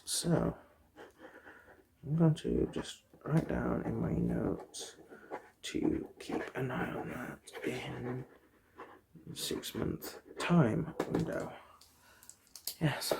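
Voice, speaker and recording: A soft, breathy ASMR performer playing an optician, murmuring in a hesitant, reassuring way and often drifting into a whisper. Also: medium pitch, noisy background.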